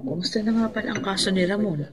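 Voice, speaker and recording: A woman's voice: medium pitch, quick pace, close to an everyday voice. Kindly older woman played by an actress, crowd murmur. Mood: gentle. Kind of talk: Filipino radio drama acting.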